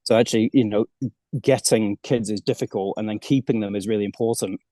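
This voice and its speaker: A man from the UK talks about coaching kids as an interview guest. His voice sits fairly low. He speaks casually, often repeating words as he restarts, and the sound is dry with no room echo. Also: steady tone, fairly quick, mellow. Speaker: golf coach abroad, guest.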